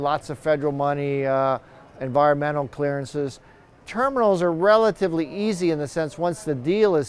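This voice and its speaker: Clear, higher-pitched male expert commentator. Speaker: professor and expert guest commentator. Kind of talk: unscripted news interview commentary.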